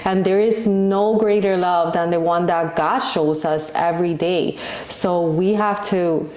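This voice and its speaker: A woman's voice: fairly low pitch, steady tone, measured pace, a little mellow. A woman co-hosting a podcast, speaking in an earnest, reflective, conversational way about faith. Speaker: podcast co-host. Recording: echoey room.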